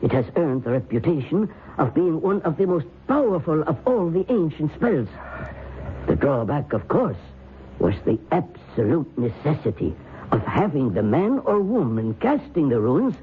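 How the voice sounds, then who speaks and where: An actor playing a grave, scholarly professor of the occult, probing and measured, in an old-time radio drama. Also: male voice, a little high, very animated. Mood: ominous. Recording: tinny old radio broadcast, faint noise.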